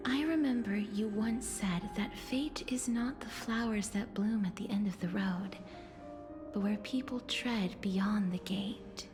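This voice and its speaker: A woman playing a gentle, wistful young noblewoman in a video game, speaking softly and formally with a breathy tenderness. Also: medium pitch, measured pace, lightly acted. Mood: solemn, grateful. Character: gentle, wistful, soft-spoken young noblewoman, quietly earnest. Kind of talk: video game voice acting, reflective monologue. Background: game music.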